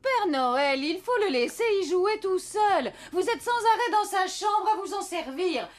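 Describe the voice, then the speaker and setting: A bossy, motherly cartoon woman, scolding and insistent. Her voice is very high and lively, over loud noise with clicks. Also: a little slow. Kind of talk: French animated children's cartoon dubbing.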